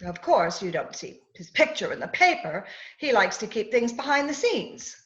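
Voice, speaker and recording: Give this one a haughty, scolding fiancee played by a woman from a radio play, with a high, lively voice that insists on every point. The delivery is theatrical, and the sound is slightly dull, like a video call. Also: moderate pace. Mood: confiding, insistent. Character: haughty, exasperated fiancee of a Latin diplomat. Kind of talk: old-time radio drama acting.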